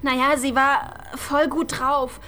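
An actress playing a chatty, trendy young hairdresser who speaks in a breezy, slangy way, mixing in English words. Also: female voice, high voice, flat delivery, takes it slowly, bright, forceful tone, full character voice. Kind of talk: radio crime drama acting.

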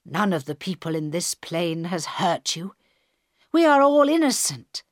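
Woman doing a steady middle-aged father. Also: no echo, studio quality. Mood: arguing. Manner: low, slow, measured. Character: calm middle-aged male passenger, father.

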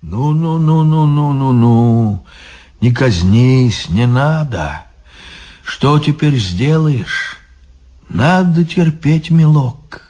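A man voicing old grey-bearded monks who speak slowly, soothing and resigned. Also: mid-range voice, lively intonation, mellow, pitched above the performer's own voice.

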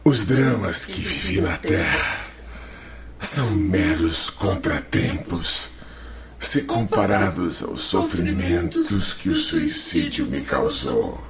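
A man's voice: on the low side, gravelly, distant mic, exaggerated voice. An actor playing the anguished, desperate spirit of a dead man in a radio drama, wailing and pleading theatrically. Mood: bitter torment. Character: anguished spirit of a male suicide, desperate and broken. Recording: dramatic music behind the voice.

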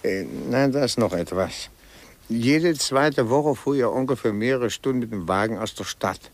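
A man's voice: mid-range voice, moderate pace, lightly acted. A man acting a shrewd former policeman in a 1950s German radio drama, reporting dryly and matter-of-factly. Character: shrewd former policeman, matter-of-fact investigator helping a client.

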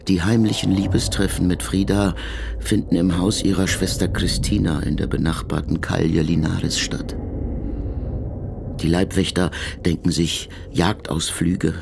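A man's voice: deep voice, fairly even intonation, measured pace, gravelly. A man narrating a literary radio drama, with noise behind his voice.

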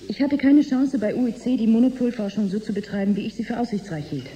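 An actress playing a cool, assertive research scientist in a radio drama, dry and guarded. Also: female voice, on the high side, expressive, fast, dark tone, highs cut off, lightly acted. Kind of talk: German radio drama acting.

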